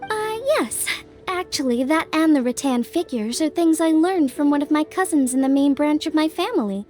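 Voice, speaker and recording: An actress voicing an earnest, thoughtful young woman in a fantasy video game, speaking in a measured, polite, slightly hesitant way. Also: high voice, lively intonation, a little mellow. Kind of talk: video game voice acting, conversational storytelling.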